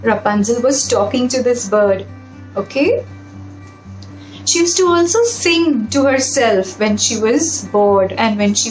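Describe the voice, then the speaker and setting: A woman reading a children's story aloud, adding simple explanations and checking in with young listeners through questions. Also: a little high. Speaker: storybook reader and narrator for children. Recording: roomy echo with background music.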